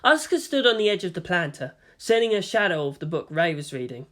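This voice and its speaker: Guy narrating fanfic like an audiobook, really animated up n down delivery, expressive. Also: high voice, fast.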